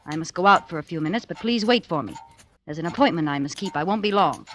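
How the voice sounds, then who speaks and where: An actress playing a cold, scheming politician's wife in an old radio drama, calculating, insistent and persuasive in the 1940s manner. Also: female voice, on the low side, animated, fast talker, fairly bright tone. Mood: brisk, businesslike. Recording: noisy, crackly old radio recording.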